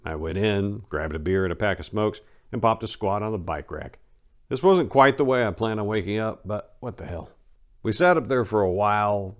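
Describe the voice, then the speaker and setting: Male storyteller and host, lowish voice, informal dramatic reading with a conversational feel.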